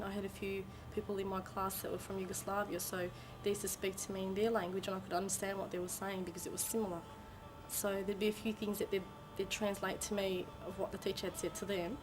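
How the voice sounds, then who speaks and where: A woman's voice: mid-range voice, noisy background. A young woman recounting her school days in plain, fluent, matter-of-fact English. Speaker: interviewee. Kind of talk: casual recollection.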